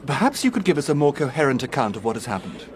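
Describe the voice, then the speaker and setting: An actor playing a formal, pedantic English professor in a radio drama. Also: male voice, mid-range voice, big pitch swings, fast talker, crowd noise, close to an everyday voice. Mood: stern, impatient. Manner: formal, impatient.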